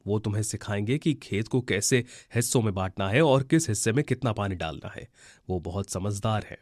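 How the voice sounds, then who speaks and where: Wise old owl elder, male actor: lowish pitch, kindly, advisory, cartoon story delivery. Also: a little fast, no room sound, clean studio sound, close to an everyday voice. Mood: reassuring. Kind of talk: Hindi children's cartoon story acting.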